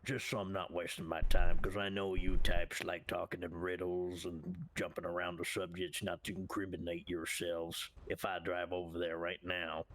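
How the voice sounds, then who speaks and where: A man's voice: low voice, a bit bright, a little hiss or hum. A man playing a cocky, blunt drifter biker in improvised online game roleplay, talking in businesslike slang.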